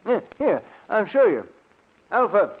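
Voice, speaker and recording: An elderly scientist, proud and avuncular, in a 1940s radio play. His voice is high for a man and has a showman's delivery. The recording is thin and tinny, like an old broadcast. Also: sing-song, unhurried, slightly rough, heavily acted. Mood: proud, commanding. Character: genial, proud elderly professor and robot inventor. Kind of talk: 1940s radio drama acting.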